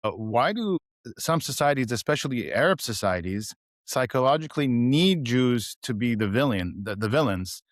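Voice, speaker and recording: A man's voice: mid-range voice, big pitch swings, normal speed, no echo, studio quality. A man interviewing a guest, asking questions with hesitant restarts.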